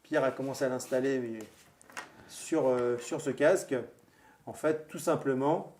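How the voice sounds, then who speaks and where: A man hosting a show, presenting a product conversationally in a halting, informal way and chiming in with agreement. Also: mid-range voice, fairly even intonation, darkish tone.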